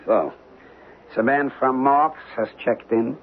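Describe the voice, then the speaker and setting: An actor playing a brusque, calculating hotel manager in a radio drama, speaking in a deliberate, probing way. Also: male voice, mid-range voice. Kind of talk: old-time radio drama acting. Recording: tinny, narrow-band radio broadcast.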